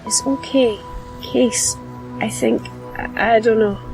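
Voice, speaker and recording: Woman doing a homeless kid sister in an audio drama, guarded and cheeky, highish voice, slow pace. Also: steady tone, creaky, darkish tone, faint music behind, low-quality recording. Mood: hesitant, reassuring. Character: wary homeless twelve-year-old street girl, cheeky younger sister.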